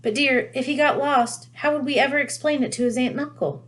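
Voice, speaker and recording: Storybook mum voiced by a woman: anxious middle-aged witch, motherly, gently chiding, slightly raised pitch. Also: a little fast. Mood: anxious, fussing. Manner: affectionate, fretful, chiding.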